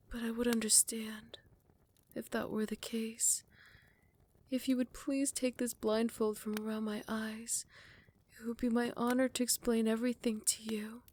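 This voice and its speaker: Woman voicing a possessive vampire queen. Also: on the high side. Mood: pleading. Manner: soft close whispers turning to furious shouting. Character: possessive female vampire queen, lovesick then vicious. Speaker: voice actor. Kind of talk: ASMR roleplay.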